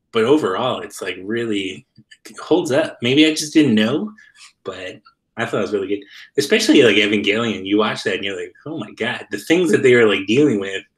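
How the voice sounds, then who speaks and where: A man's voice: medium pitch, fairly bright tone. A man chatting casually as an interview guest on a video call, in a loose, slangy way peppered with "like". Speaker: interview guest, comic creator. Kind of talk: casual chat.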